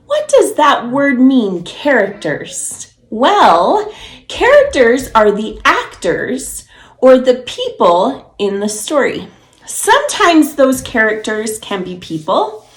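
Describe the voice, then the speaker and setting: A woman's voice: fairly high pitch, roomy sound. A woman preschool teacher reading a storybook aloud to young children, encouraging and explaining things simply.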